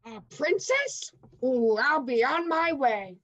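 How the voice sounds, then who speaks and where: A rude, swaggering ogre acted out by a woman storyteller speaks slowly and theatrically. The pitch swings widely as he gloats and demands, and the sound is close and dry. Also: sing-song, a bit bright, no echo, full character voice. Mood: eager. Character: repulsive male ogre, brash, gloating, rude.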